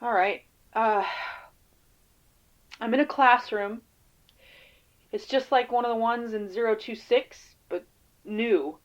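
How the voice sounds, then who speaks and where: A woman playing a weary, defensive field agent in an audio drama interview, speaking haltingly and casually. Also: a little high, muffled recording. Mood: uneasy, hesitant.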